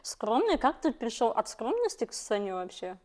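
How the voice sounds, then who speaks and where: A woman's voice: fairly high pitch, sing-song, moderate pace, a little mellow. A woman hosting a podcast, asking interview questions and switching between two languages.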